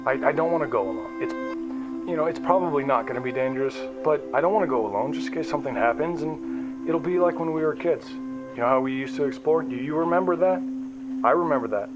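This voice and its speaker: A man playing a restless, frustrated explorer in an audio drama, speaking earnestly in halting, repeated phrases. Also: on the high side, highs cut off, natural voice. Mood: earnest, pleading. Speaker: narrator and lead character. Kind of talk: audio drama acting, conversational monologue and dialogue. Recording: music behind the voice.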